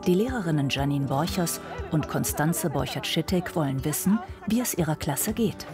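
A woman narrates a television news feature in a steady, measured voice that sits a little low for a woman. A clear music bed plays underneath her reading. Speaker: reporter.

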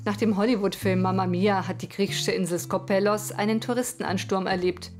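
Woman doing tv news report voiceover, normal pitch, bit of music behind. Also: steady tone, brisk. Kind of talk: scripted TV report narration. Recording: voice over music bed.

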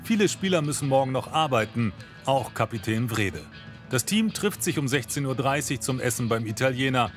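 A man's voice: medium pitch, expressive, normal speed, soft background music. A man narrating a scripted television news report.

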